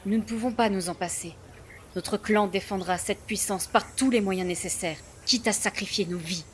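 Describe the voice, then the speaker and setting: A woman playing a proud, solemn female chief of a primitive village clan in a fantasy audio drama, speaking in formal, measured phrases. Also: medium pitch, slight background noise, lightly acted. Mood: reverent, firm. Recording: voice under clear background music.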